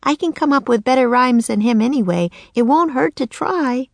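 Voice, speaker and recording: A woman voicing a bold, cheerful young girl who has been turned into a raven and croaks her words. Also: high voice, moderate pace, dry recording, pitched above the performer's own voice. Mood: mischievous. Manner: eager, bright, croaking.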